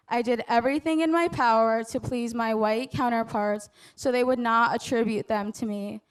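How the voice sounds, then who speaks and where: A young woman graduating from high school, delivering an earnest, prepared commencement speech at a measured pace. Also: smooth, rough recording. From United States. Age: young adult. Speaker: graduating student speaker.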